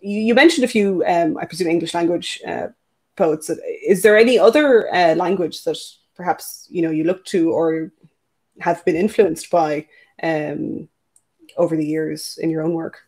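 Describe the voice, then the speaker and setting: A host asking questions in a casual interview, pausing often with "uh" and "you know". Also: medium pitch. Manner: hesitant, many "uh" and "you know" fillers.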